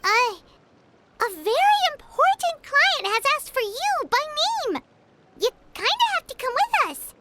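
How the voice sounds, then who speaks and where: Tiny childlike fairy, very high squeaky voice, wildly animated, chatty, upbeat, anime game. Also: female voice, a little slow, very noisy, exaggerated voice. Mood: coaxing. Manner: speaks of self in third person. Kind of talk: anime video game voice acting.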